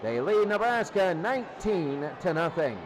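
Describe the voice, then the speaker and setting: A man's voice: high-pitched, big pitch swings, unhurried, over music, a crowd in the background, low-quality recording. A man giving live play-by-play football commentary as a sports announcer.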